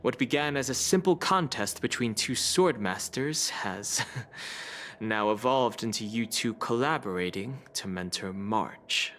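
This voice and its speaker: A man voicing a level-headed, analytical traveling companion in a game, speaking in a measured, matter-of-fact way. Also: mid-range voice, animated, a bit bright, slight background noise. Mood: explanatory. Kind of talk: game cutscene voice acting.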